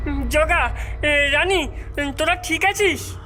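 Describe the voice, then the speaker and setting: A woman's voice: sits high, slow pace, pushed and bright, faint music behind, exaggerated voice. A woman voicing a brave, earnest young boy in a cartoon, hesitant but determined. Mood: bewildered. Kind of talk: cartoon acting.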